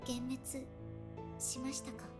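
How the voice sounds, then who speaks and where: A woman's voice: high-pitched, little pitch movement, a little mellow, close to an everyday voice. A woman voicing a solemn, earnest young church princess in a visual novel, speaking politely and formally. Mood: subdued, doubting. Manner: polite, formal, measured phrasing. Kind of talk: Japanese visual novel voice acting. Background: game music.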